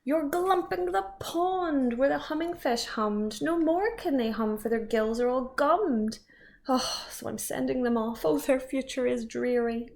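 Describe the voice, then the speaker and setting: A woman voicing a grumpy little male forest creature who scolds in a croaky, coughing voice. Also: sits high, unhurried, voice pushed up. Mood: angry. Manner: loud and scolding.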